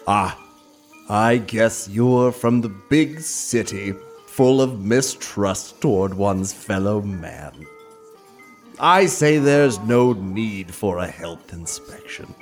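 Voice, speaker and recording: A courtly and faintly eerie small-town diner owner in an audio drama. His voice is low and his delivery very slow, formal and pompous. Faint music plays behind him. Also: expressive. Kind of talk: audio fiction acting.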